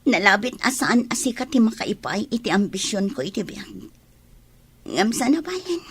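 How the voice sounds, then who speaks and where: A woman's voice: mid-range voice, sing-song, normal speed, a little hiss or hum. A woman with a Filipino accent acting a distraught, pleading young pregnant woman full of regret in a radio drama. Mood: hurt, resolute. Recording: music under the voice.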